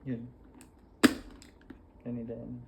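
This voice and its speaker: A man with a Filipino accent giving a casual hands-on tutorial, talking informally and haltingly as he works through each step. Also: mid-range voice, completely flat delivery, vocal fry, phone-quality sound, heavy background noise. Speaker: vlogger demonstrating a product installation.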